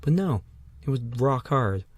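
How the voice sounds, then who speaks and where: A man's voice: medium pitch, animated, unhurried, breathy edge, no room sound, studio quality. A man co-hosting a casual podcast from a small room at home, tired and telling jokey, rambling stories. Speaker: podcast co-host. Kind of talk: casual banter.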